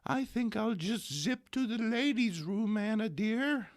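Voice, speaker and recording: A man's voice: sits high, expressive, measured pace, pitched above the performer's own voice. A man voicing a sweet but indignant and feisty elderly woman in a dramatic comic book reading. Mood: fretful.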